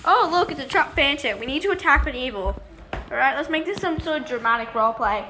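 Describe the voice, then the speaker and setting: Very high-pitched, excitable young female gamer, noisy recording. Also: quick pace. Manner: excitable, giggly, dramatic mock-serious; talks while eating and sucking ice. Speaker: gaming video host. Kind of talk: casual playful gameplay commentary with roleplay.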